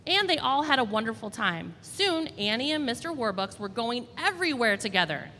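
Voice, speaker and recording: A woman storyteller reading a children's storybook aloud in an expressive, deliberate way. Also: a little high. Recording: reverberant hall, faint background noise.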